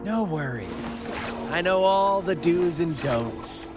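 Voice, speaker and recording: Theatrical, wildly swooping villain. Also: male voice, fairly high pitch, animated, takes it slowly. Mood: sly, taunting. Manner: theatrical, mocking, sweeping delivery. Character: theatrical unhinged male villain, taunting and sly. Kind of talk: video game voice acting. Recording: loud music behind the voice.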